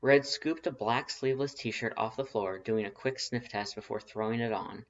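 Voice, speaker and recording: Muffled mid-pitched fan fiction narrator. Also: normal speed, creaky. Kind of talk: scripted story narration. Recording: dull lo-fi recording.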